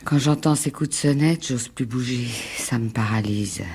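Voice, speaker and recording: Anxious, husky-edged insistent wife. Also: female voice, extremely low pitch, darkish tone, heavily acted. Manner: halting, pleading, repeats words. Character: frightened, fragile wife, jealous and insistent, feeling persecuted. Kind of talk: French radio drama acting.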